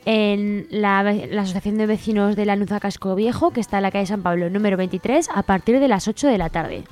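A woman's voice: medium pitch, fairly quick, a little mellow. A woman with an accent from Spain presenting a radio events listing, read out as announcements. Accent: Spanish from Spain. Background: music bed.

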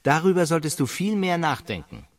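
A man's voice: fairly high pitch, very animated, brisk, no echo, clean studio sound. A man in a radio drama playing a matter-of-fact colleague who explains things bluntly and firmly. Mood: blunt, consoling.

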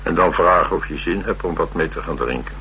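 An actor with a Netherlands Dutch accent playing a troubled, blunt ex-soldier and restless drifter in a radio drama, wry and halting in delivery. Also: male voice, deep voice, sharp tone, rough recording. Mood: wry, forward. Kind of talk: radio drama dialogue acting. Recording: radio drama with clear hiss and hum.

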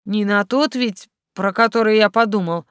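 A woman's voice: medium pitch, moderate pace, clear, resonant tone, dry recording, lightly acted. An anxious young male cadet, voiced by a woman in an audiobook story. The recording is very dry, with almost no room sound. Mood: alarmed. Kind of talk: audiobook character acting.

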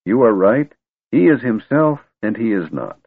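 A man's voice: fairly low pitch, sing-song, moderate pace, husky, no room sound, voice pushed up, full character voice. A man voicing a wise, eccentric old wizard who is secretly a god, testy and stern in turn. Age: older. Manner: cranky yet wistful, scolding. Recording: tinny phone-like dry recording.

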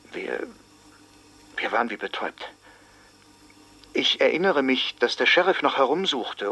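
An actor playing a sober small-town schoolteacher in a radio drama, giving grave, deliberate witness testimony with heavy pauses. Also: male voice, medium pitch, sharp tone, full character voice.

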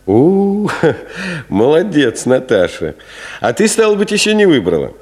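An actor playing a gentle, wistful middle-aged teacher with a hesitant, teasing, colloquial way of talking in a 1970s Soviet radio play. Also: male voice, fairly high pitch, big pitch swings, unhurried. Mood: curious, wistful.

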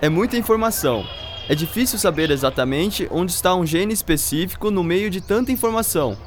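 A man's voice: sits high, lively intonation, brisk, music in the background. A man playing a patient science teacher in a scripted educational radio drama, explaining step by step with questions. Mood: patient, explanatory. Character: patient male science teacher chatting with his students.

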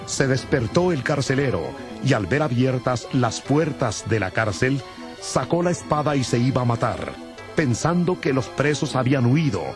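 A man delivers scripted dramatic scripture narration. His voice is mid-pitched, with music playing behind him. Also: lively intonation, moderate pace.

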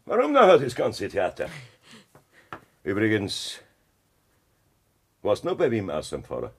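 An actor with a Bavarian accent playing a cruel, scheming farmer husband in a 1970s radio play, with a gravelly, husky voice. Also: male voice, fairly low pitch, wildly swooping pitch, a little slow, full character voice. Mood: menacing.

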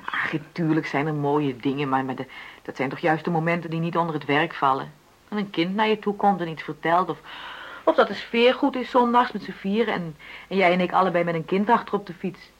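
A woman's voice: lowish voice, fairly quick, close to an everyday voice, low-quality recording. An actress playing a frustrated middle-aged wife in a radio play, insistently arguing for equality in her marriage. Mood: argumentative. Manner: argumentative, insistent, reasoning. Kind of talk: naturalistic Dutch radio play dialogue.

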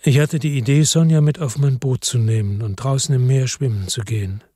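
A man narrates a first-person story in a measured, brooding voice at a middle pitch. His tone is husky and breathy, and the recording is completely dry and close with no room sound. The delivery settles into a steady melancholic rhythm. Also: no echo. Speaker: audiobook narrator.

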